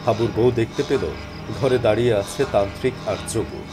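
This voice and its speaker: A man narrating a scripted animated horror story in a dramatic storytelling style. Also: on the low side, a little fast, music in the background.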